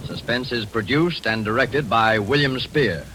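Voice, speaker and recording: A man announcing a radio drama in a measured, formal manner. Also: mid-range voice, background noise. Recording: old radio broadcast with music.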